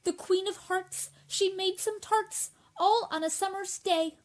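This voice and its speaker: Very high-pitched officious herald, played by a woman. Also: steady tone, measured pace, soft background music. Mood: proclaiming. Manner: formal, declaiming. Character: officious, proper royal herald and court crier. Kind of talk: radio play acting.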